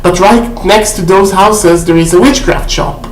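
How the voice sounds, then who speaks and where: A woman's voice: medium pitch, a little slow. A woman casually showing off a shopping haul on her vlog, chatty and full of fillers, often checking in with "okay?". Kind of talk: casual haul video chat. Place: indoor room at home. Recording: roomy echo.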